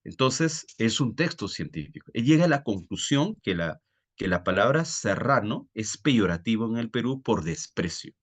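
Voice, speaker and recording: A man's voice: mid-range voice, sing-song, normal speed. A man with a Peruvian Spanish accent teaching a live online class, explaining didactically and often putting questions to his students. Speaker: teacher, exam-prep tutor. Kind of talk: live online reading-comprehension lesson.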